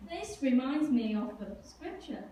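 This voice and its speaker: A very echoey room surrounds this woman, who is a little far from the mic. Her voice is high, and she chides and instructs as a presenter in a children's puppet show. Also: sing-song, unhurried, a crowd in the background. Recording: echoey room, distant mic, faint noise.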